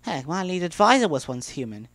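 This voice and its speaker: A man voicing a calm, formal adult female pony princess in a story reading. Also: fairly high pitch, brisk, dry recording, pitched above the performer's own voice. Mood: calm explaining. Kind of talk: dramatic fan-fiction reading.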